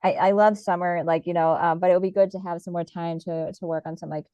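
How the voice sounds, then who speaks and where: A woman's voice: on the low side, steady tone, quick pace, mellow, clean tone, no echo. A woman guest on a podcast, chatting casually with lots of fillers and restarts, her voice slightly nasal. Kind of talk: casual conversation.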